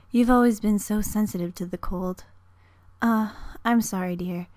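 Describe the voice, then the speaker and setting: A woman playing a gentle, struggling mother in an amateur anime dub audition, tender, apologetic and wistful. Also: medium pitch, no room sound, lightly acted.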